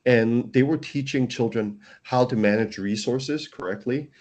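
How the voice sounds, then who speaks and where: A man's voice: mid-range voice, unhurried, a little mellow. A man, a startup founder, earnestly explaining his company as an interview guest, often restarting his sentences. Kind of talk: casual interview.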